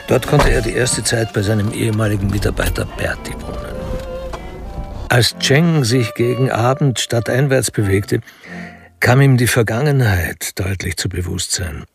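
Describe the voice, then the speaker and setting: A sober storyteller narrates a radio detective drama. His mid-pitched voice is thick with creak and gravel, and the delivery is literary and detached. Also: unhurried, over music.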